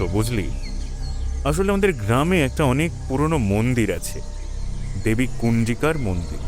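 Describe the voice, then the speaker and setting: A man's voice: fairly low pitch, a little mellow. A friendly young village local. He gossips colloquially to his friend in an audio drama, with music underneath. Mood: confiding. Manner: colloquial, often checks listener understands.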